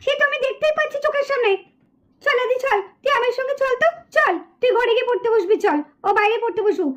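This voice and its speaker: A woman's voice: very high voice, fast, fairly bright tone. A woman voicing a mother who scolds her daughter and dotes on her son. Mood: scolding. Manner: scolding, coaxing. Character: mother Pushpa, scolding but doting.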